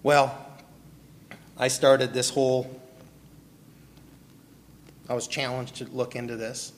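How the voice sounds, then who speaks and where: A man's voice: mid-range voice, level tone, slow. A man from Milwaukee preaching a sermon with a personal testimony, putting questions to the listeners and calling on them by name. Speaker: pastor. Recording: long hall reverb.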